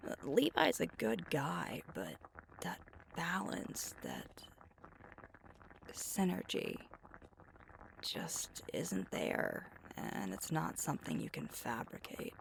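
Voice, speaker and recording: Woman as thoughtful werewolf pack leader girlfriend, intimate ASMR, hushed and breathy, very gravelly, widely swinging pitch. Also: wildly swooping pitch, takes it slowly, pauses often, noisy background, exaggerated voice. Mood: pensive, concerned. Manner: soft-spoken, often breathy and hushed, musing hesitantly. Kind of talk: ASMR roleplay, intimate one-sided conversation.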